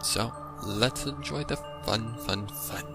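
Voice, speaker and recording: A man's voice: lowish voice, takes it slowly, vocal fry. A man hosting a reaction video, commenting in a casual, informal and rambling way. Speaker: host of a reaction channel. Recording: music playing behind the voice. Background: music.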